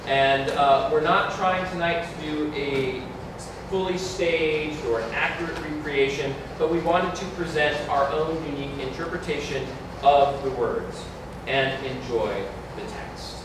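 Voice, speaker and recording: Echoey, higher-voiced man introducing a show. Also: unhurried. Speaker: director and host introducing a staged reading. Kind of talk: live stage introduction. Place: community hall stage before an audience. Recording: very echoey hall, distant, noisy.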